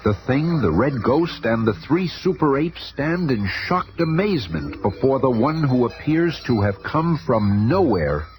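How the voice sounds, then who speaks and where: A man's voice: mid-range voice, very animated, measured pace, hardly any pauses. A man narrating a 1970s superhero radio serial in a dramatic, scripted style. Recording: noisy 1970s radio recording.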